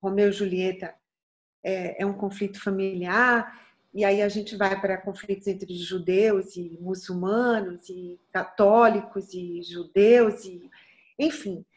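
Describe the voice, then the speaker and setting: A middle-aged Brazilian singer from Bahia with a light Bahian accent and a soft voice, speaking earnestly and reflectively in an interview, with frequent restarts. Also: female voice, medium pitch, fairly even intonation, slow pace. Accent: Bahian Portuguese. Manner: thoughtful, earnest, frequent restarts and tag questions.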